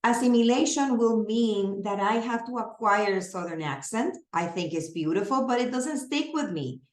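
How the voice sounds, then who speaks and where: A woman's voice: on the high side, lively intonation, moderate pace. A woman with a Spanish accent, a native Spanish speaker, giving an earnest training presentation on serving families, explaining with everyday examples. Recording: roomy echo.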